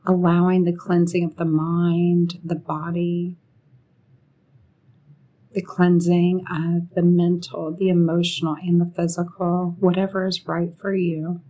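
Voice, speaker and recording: Very slow, low-pitched woman guiding meditation. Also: warm tone. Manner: soothing, unhurried, with long pauses between short phrases. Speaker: guided meditation leader. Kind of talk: guided meditation narration.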